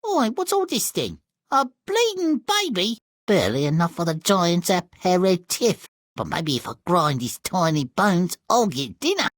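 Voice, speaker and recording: Sardonic crone, brusque and scheming, wildly swooping pitch, dry sound, fairy tale game. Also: male voice, wildly swooping pitch, a little slow, fairly bright tone, no room sound, full character voice. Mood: grumbling. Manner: mocking, brusque. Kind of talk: theatrical video game cartoon acting.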